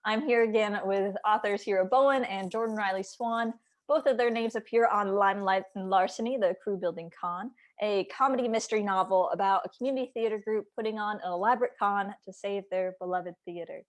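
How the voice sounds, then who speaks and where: A woman's voice: medium pitch, fairly quick. A woman hosting an author interview, introducing guests and putting questions to them. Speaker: host, editor and YouTuber.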